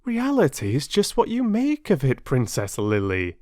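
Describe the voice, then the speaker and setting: A man's voice: mid-range voice, huge pitch swings, normal speed, no echo, studio quality, pitched above the performer's own voice. Man playing a kindly, lilting female babysitter. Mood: comforting. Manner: gentle, encouraging.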